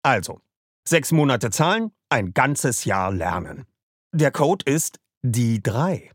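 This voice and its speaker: A man, a podcast host, reading a sponsor ad in an upbeat, salesy way with a husky voice. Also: medium pitch, big pitch swings, takes it slowly, no echo. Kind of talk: ad read. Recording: dry close mic.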